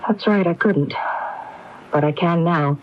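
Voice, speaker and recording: An actress playing a grieving but resolute widow in a radio drama, speaking in a measured way. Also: female voice, on the low side, natural voice. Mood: grieving, controlled. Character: determined, composed grieving widow. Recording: muffled AM radio, faint noise.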